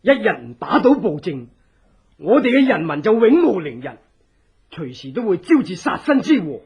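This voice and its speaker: Husky, high-voiced male revolutionary. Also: sing-song, normal speed, heavily acted. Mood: fervent. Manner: urgent, earnest, theatrical persuasion. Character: earnest, secretive revolutionary, escaped political prisoner. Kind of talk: Cantonese radio drama acting. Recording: dull, lo-fi old radio drama.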